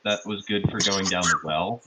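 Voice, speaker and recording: A man's voice: low-pitched, steady tone, normal speed, heavy background noise. A man playing a tabletop roleplaying game, improvising casually and thinking aloud in a hesitant way.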